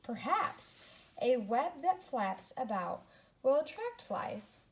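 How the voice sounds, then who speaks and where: A woman's voice: high voice, very animated, takes it slowly, voice pushed up, full character voice, rough recording. A woman voicing a hungry, naive, determined young female spider in a storybook, earnest, emphatic and full of wonder. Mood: impressed, determined.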